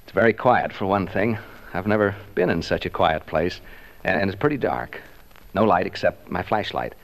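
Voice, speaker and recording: An actor playing a nervous DJ alone in a haunted house, chatty and stammering, in an old radio drama. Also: male voice, deep voice, lively intonation, normal speed, poor audio. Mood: hushed, uneasy. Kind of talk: 1940s radio drama acting, on-location monologue. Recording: old radio recording, faint noise.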